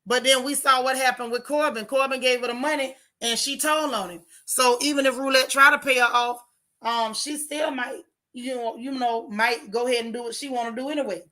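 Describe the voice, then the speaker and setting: A hoarse woman who hosts a channel. She reviews a TV show in a casual livestream, talking straight to her chat with animated, opinionated takes. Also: sits high, brisk.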